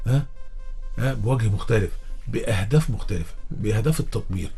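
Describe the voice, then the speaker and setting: An Egyptian man talks sport on a radio show as a guest analyst. He speaks at a racing clip in a husky voice and keeps circling back to the same phrase to press his argument. Faint music plays under the broadcast. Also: on the low side, quick pace, mellow. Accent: Egyptian Arabic. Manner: emphatic, repeats himself while arguing a point. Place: radio studio.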